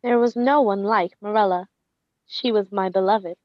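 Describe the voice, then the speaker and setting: A woman's voice: mid-range voice, moderate pace, lo-fi sound, slight background noise, lightly acted. A woman playing an adoring, wistful wife in a radio drama, speaking reverently and poetically of her beloved.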